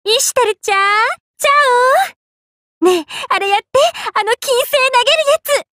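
A woman's voice: extremely high pitch, normal speed, no room sound, studio quality. A woman voicing a tall, affectionate big-sisterly sun goddess in an anime game, swinging between bubbly and tender. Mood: excited, playful. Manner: swings between excited exclamations and gentle, tender speech. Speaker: game character. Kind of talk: Japanese anime game voice acting.

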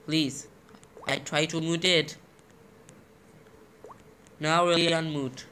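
A man presenting a casual screen-recording tutorial in halting, fragmented steps. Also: fairly high pitch, fairly even intonation, pushed and bright. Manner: halting, fragmented step-by-step speech. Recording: noisy amateur tablet screen recording.

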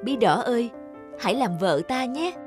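A woman's voice: fairly high pitch, lively intonation, moderate pace, lightly acted. Woman as an earnest young fairy-tale prince. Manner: respectful, earnest pleading. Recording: music under the voice.

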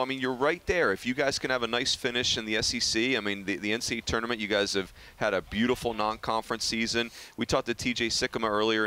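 A man's voice: lowish voice, bright, forceful tone. A man asking long, chatty questions as a broadcast analyst in a sports interview.

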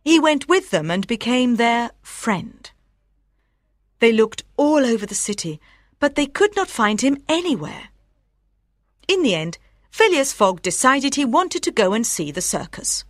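Lively, expressive woman storyteller. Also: fairly high pitch, measured pace, no echo, studio quality. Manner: clear storytelling for language learners. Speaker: audiobook narrator. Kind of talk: scripted story narration.

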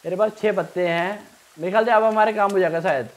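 A man's voice: high voice, fast. A young man giving casual let's play commentary, thinking aloud and repeating himself as he plays. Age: young adult. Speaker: gaming streamer.

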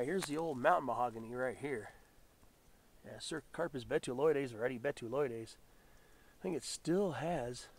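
A man getting over a head cold, his voice deeper and raspier than usual, casually naming plants as he walks through a mountain forest before dawn. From Southern California. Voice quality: raspy. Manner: musing, relaxed botanical naming. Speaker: nature vlogger. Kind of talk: casual walking commentary. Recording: outdoor camera audio with background noise.

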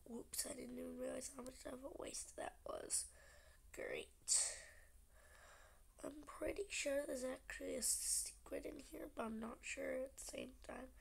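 Young gaming host, sick and stuffy, gravelly, slightly high voice, half-whispered chatter over gameplay. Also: female voice, sing-song, unhurried. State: stuffy nose from a cold. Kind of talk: casual gameplay commentary.

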